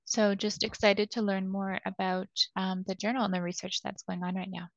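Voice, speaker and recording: A woman's voice: mid-range voice, little pitch movement, moderate pace, creaky, dry recording. A woman from Toronto, a patient education specialist, introducing herself on a video call with frequent ums. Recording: dry, muffled video call audio.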